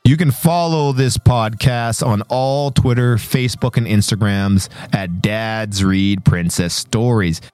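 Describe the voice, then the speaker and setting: A man's voice: on the low side, slow, hardly any pauses, darkish tone, clean tone, no room sound, soft background music. A man speaking as a guest podcast host, giving a chatty, promotional sign-off. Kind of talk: podcast outro with plugs.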